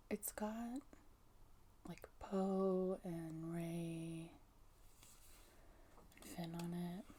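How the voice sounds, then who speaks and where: A woman whispering gently in a casual ASMR show and tell, soft-spoken and breathy, close to the microphone. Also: lowish voice, little pitch movement, extremely slow, a little hiss or hum.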